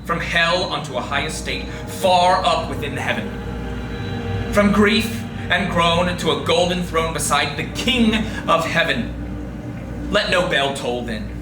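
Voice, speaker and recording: A man acts out an indignant, grieving lover, defiant and scornful. He declaims the verse theatrically at a slow pace in a highish male voice. The sound is roomy and echoing, with music behind. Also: lively intonation, noisy background. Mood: defiant exalted. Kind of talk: dramatic poetry reading. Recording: roomy, distant recording with music.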